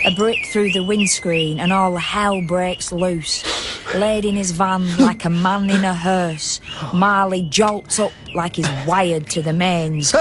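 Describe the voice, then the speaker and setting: An unsparing woman storyteller with a very low voice. She delivers verse for a radio play slowly and wryly, over faint background ambience. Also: background noise. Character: knowing, unsparing woman narrator, voice of conscience. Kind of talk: poetic radio drama narration.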